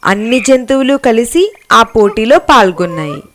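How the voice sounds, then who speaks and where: A woman's voice: a little high, big pitch swings, moderate pace, a little hiss or hum. A woman narrating scripted moral stories for children as a storyteller. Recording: music behind the voice.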